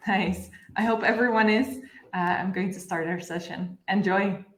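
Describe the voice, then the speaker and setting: Woman, thirties, medium pitch, webinar host, informal delivery, roomy video call sound. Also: steady tone, normal speed. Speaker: webinar host, customer education manager. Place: indoor room, on a video call. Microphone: video call microphone.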